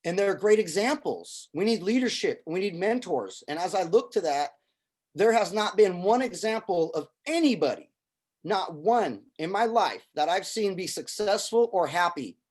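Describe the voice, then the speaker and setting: A man's voice: high-pitched, lively intonation, normal speed, darkish tone. A middle-aged man from Syria, a film producer and army veteran, talking earnestly in a casual conversation and often repeating words as he starts a thought.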